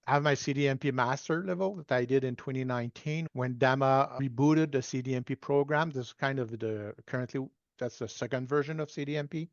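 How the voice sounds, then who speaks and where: A man in his sixties with a French Canadian accent, a native French speaker, giving measured, self-deprecating answers as an interview guest. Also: medium pitch, no room sound, highs cut off. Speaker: guest data management consultant. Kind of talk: relaxed explanatory interview answers.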